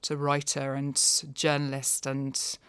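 Female books expert, low voice, articulate, discursive, conversational, dry recording. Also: completely flat delivery, takes it slowly, clean tone, no room sound. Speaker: non-fiction books expert, podcast guest. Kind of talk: conversational book review.

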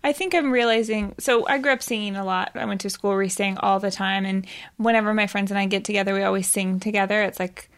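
A woman's voice: mid-range voice, fairly even intonation, quick pace, clear, resonant tone. A woman hosting a casual conversation, chatty and rambling, laughing often. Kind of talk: casual chat.

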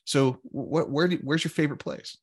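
A man's voice: a little high, moderate pace, husky. A man hosting a business podcast in a friendly, loose way, often repeating words as he talks. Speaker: podcast host. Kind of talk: casual interview hosting.

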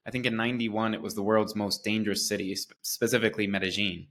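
Man, from the United States, low voice, flat intonation, earnest, enthusiastic, interview guest. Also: fast talker, a little hiss or hum. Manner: earnest and enthusiastic, with repeated restarts. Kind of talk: conversational interview.

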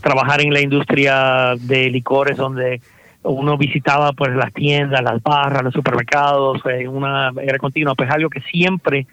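A man's voice: medium pitch, level tone, moderate pace, fairly bright tone, no room sound, low-quality recording. A Puerto Rican man, a startup founder, answering interview questions thoughtfully, with many fillers and repeated words. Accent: Puerto Rican Spanish. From Puerto Rico.

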